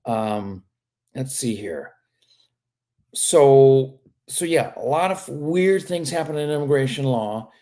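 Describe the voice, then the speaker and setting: A man's voice: mid-range voice, animated, slow, dark tone. A man working as an immigration lawyer, answering viewer questions on a live stream in a casual, frank way and often tagging on "okay?". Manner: reads viewer questions aloud and answers them.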